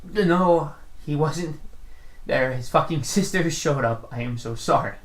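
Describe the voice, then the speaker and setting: A man's voice: a little high, expressive, a little slow. A man playing a distraught, defensive murder suspect who stammers and repeats himself under questioning in an audio drama. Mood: nervous, apologetic. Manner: stammering, repeating words, flustered. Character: distraught male murder suspect, nervous, defensive, pleading innocence. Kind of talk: audio drama interrogation acting.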